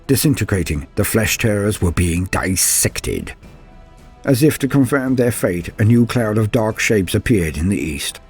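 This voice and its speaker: A man's voice: fairly low pitch, a little mellow, breathy edge. A man giving a grave, theatrical reading of science fiction war lore as a scripted dramatic narration.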